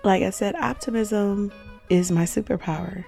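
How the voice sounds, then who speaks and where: A woman hosting her own faith podcast in a casual solo talk. She is hoarse and sounds upbeat and reflective, with frequent ums. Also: medium pitch, normal speed, warm tone, faint music behind. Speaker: podcast host.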